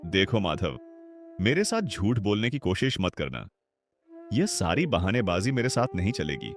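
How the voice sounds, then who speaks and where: A harsh, greedy village headman, voiced by a man in a cartoon, speaking low and with a lively, rising and falling tone. He gives orders and makes threats, and music plays behind him. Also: moderate pace. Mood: demanding. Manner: threatening, bossy. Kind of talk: cartoon voice acting.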